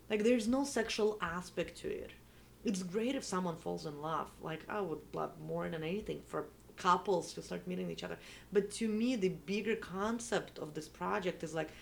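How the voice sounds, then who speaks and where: A woman's voice: on the low side, creaky, a little hiss or hum. A woman talking casually and earnestly in a conversation, reflective and often checking in with the listener. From Estonia, Eastern Europe. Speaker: interview guest, event organiser.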